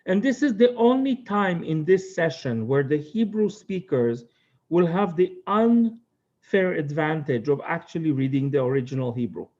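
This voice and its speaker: A high-pitched man with an Israeli accent leads a study session, speaking earnestly and thoughtfully and pausing often. The recording sounds dull and lo-fi. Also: animated, darkish tone. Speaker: teacher. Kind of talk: text study lecture.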